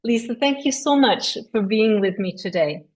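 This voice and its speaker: A woman hosting an interview show, giving a prepared introduction in an enthusiastic, measured way. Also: medium pitch, expressive, darkish tone. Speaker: host.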